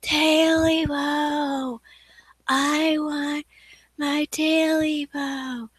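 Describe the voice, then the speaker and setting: A woman voicing a short, stubby male creature with long claws, moaning and calling in a drawn-out, eerie wail. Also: sits high, little pitch movement, dry recording, voice pushed up.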